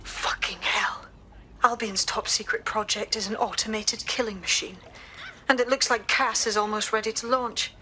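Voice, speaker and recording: A tough, determined woman of the resistance in a game cutscene. Her voice is sharp and somewhat high, over faint ambience. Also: fairly even intonation, moderate pace, slight background noise, poor audio. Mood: grim, urgent. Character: tough, resolute female resistance fighter. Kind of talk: video game cutscene acting.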